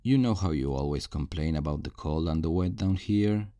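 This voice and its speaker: A man's voice: a bass voice, dark tone. A man playing a possessive, falsely tender kidnapper in an intimate ASMR roleplay, coaxing and condescending with veiled menace. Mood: pleased, coaxing. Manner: slow, coaxing, condescending endearments with veiled threats.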